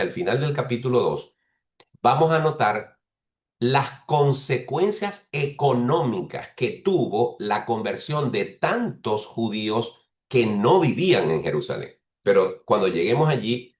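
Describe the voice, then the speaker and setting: A man preaching a teaching sermon who explains slowly and restates each point several ways. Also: mid-range voice, reverberant. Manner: explains patiently, repeats and rephrases points; speaks bilingually of Spanish and English.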